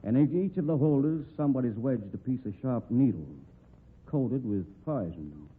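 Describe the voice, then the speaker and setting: An actor playing a calm, dry-witted rodeo manager who investigates a murder. He speaks in a laconic drawl, in 1940s radio acting style. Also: mid-range voice, moderate pace, breathy edge. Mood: explaining. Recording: narrow-band old radio broadcast.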